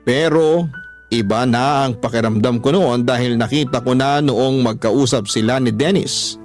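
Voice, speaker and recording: A man's voice: mid-range voice, normal speed, a little mellow. A man reading listeners' letters as a dramatic first-person story, as a radio host. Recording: music under the voice.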